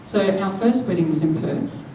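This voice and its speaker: A woman, interpreting a deaf speaker's keynote into spoken English in the first person, with a measured manner that draws in the audience. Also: mid-range voice, expressive, mellow, a little hiss or hum. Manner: measured, engaging the audience with questions. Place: large conference hall. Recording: echoey hall, voice picked up from a distance.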